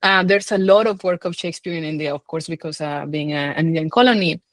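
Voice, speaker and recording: A woman with a slightly low-pitched voice answers interview questions as a scholar. She speaks informally and hesitantly, working out her thoughts as she goes, and the sound is fairly dry. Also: quick pace, dry recording. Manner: hesitant, informal, thinking aloud. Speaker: film scholar and guest.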